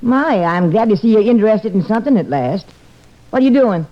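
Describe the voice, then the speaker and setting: A woman's voice: medium pitch, animated, fairly quick, lightly acted. A woman playing a patient, slightly puzzled homemaker in an old-time radio family comedy, obliging but mildly exasperated. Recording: muffled old radio recording.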